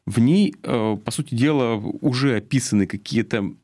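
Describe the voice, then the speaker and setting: A man from Russia delivering political commentary in an earnest, explanatory way, with a halting delivery and frequent pauses. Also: mid-range voice, darkish tone. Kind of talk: spoken political commentary, semi-prepared talk.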